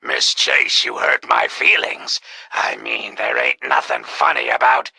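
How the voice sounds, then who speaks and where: A loyal ghoul manservant in an old-time radio play. The voice is full of gravel and fry, tossing out sardonic, slangy wisecracks with animated timing. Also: male voice, extremely low pitch, a little slow, no room sound, heavily acted. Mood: sardonic, menacing.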